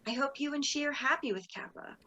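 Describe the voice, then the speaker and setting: A woman's voice: high-pitched, a little fast, sharp tone, lo-fi sound. A young woman playing a sardonic, exasperated sorority leader in a dramatic reading, theatrical and emphatic. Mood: coolly formal.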